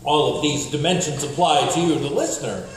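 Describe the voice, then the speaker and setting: A man's voice: a little high, fairly quick. A man narrating a comic radio drama theatrically, with mock-serious, playful emphasis. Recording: very echoey hall, distant, faint noise.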